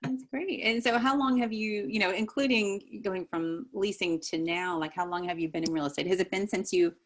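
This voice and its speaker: A woman hosting an interview, upbeat and encouraging, with quick replies. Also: mid-range voice, steady tone. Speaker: interview host. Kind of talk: casual interview.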